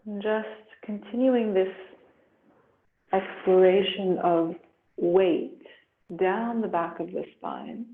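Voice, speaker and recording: A woman yoga instructor leading a guided relaxation meditation in a gentle, soothing, unhurried way with long pauses. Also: medium pitch, very animated, a little hiss or hum. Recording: roomy echo, muffled phone-like sound.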